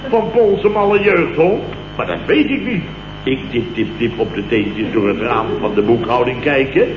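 An actor playing an exasperated, sarcastic office boss in a blustering manner, in old radio comedy style. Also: male voice, medium pitch, animated, normal speed, natural voice. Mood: exasperated, incredulous. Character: indignant, sarcastic male office boss telling his night's ordeal. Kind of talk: 1970s Dutch radio comedy acting. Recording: muffled, noisy, roomy old radio recording.

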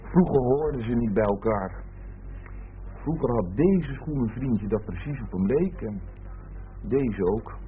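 An actor playing a lazy, flippant young boyfriend in a radio play, teasing and rambling nonchalantly. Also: male voice, medium pitch, lively intonation, a little slow, pauses often, natural voice. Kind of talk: Dutch radio play acting. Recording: tinny, hissy old radio recording.

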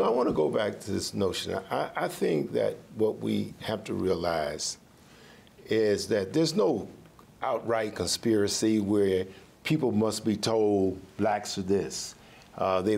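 A man's voice: fairly low pitch, vocal fry, darkish tone, slightly rough. An older man on a studio panel with a lapel mic, speaking emphatically in a lecturing way, with repeated words as he builds points. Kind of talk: panel discussion. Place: studio stage with audience.

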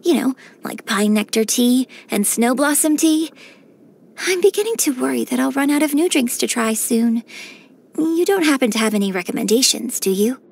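A woman voicing a graceful, gentle and cheerful young female opera singer in a game, with polite, elegant diction. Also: high voice, expressive, moderate pace, gravelly, breathy edge, full character voice. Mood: pleased, polite. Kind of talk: scripted game character voice lines. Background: background music.

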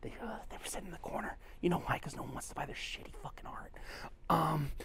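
Man, fairly high voice, tipsy, blunt, swears a lot, casual vlog on a cruise ship. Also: fast, creaky, a bit bright, husky, in a whisper. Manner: blunt, swearing, ranting, drops to a mutter at times. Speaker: cruise vlogger. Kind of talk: casual walk-and-talk vlog. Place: aboard a cruise ship, indoors.

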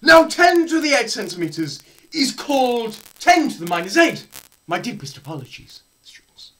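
A young man performing a theatrical scripted lecture, melodramatic, swinging between hushed murmurs and loud cries. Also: very high-pitched, big pitch swings, normal speed. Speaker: presenter performing a dramatised physics lecture. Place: small plain room indoors.

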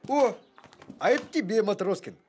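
An actor voicing an easygoing male talking dog in an animated cartoon. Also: high-pitched, normal speed, a little hiss or hum. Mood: impressed, casual.

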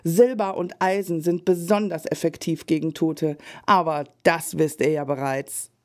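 A woman voicing Shirley, a mysterious older medium in an audio drama, speaking in slow, halting visions. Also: deep voice, fairly even intonation. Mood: knowing. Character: mysterious older female fortune teller. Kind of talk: German fan audio drama.

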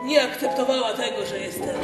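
An actor playing a swaggering, lovelorn pirate captain in an improvised musical stage story, theatrical and given to song. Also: male voice, very high-pitched, fairly quick, far from the mic. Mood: wistful. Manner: theatrical, often bursting into song. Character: swaggering male pirate captain obsessed with five, secretly lovelorn. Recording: echoey hall from afar, loud piano. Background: loud live piano music.

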